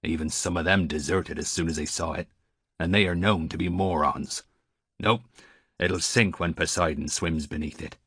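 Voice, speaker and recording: A man's voice: sits low, steady tone, moderate pace, fairly bright tone, breathy edge, no echo, clean studio sound. A man voicing a superstitious old male sailor on a harbour beach, stiff and scornful in manner.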